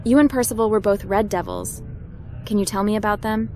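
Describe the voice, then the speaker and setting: A woman's voice: medium pitch, brisk, noisy background, lightly acted. A woman voicing a determined, matter-of-fact heroine in scripted roleplay game dialogue. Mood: businesslike. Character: determined, businesslike young female hacker posing as officer. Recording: music behind the voice.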